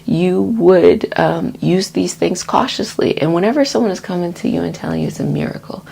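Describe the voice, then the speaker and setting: Husky, low-voiced woman giving wellness talk. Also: normal speed, dark tone. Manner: encouraging, reassuring, conversational asides. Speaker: host of self-care healing sessions. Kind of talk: casual spoken wellness talk for an ASMR healing session.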